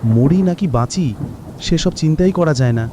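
A man's voice: a little high, animated, normal speed, mellow, a little hiss or hum, lightly acted. A man playing a poor, anxious village fisherman in a cartoon, earnest and dramatic. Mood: weary, fearful. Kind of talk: cartoon voice acting.

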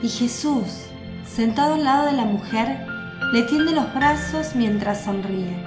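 A woman giving measured, scripted narration for a radio drama. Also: a little high. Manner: measured, descriptive. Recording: echoey with music bed.

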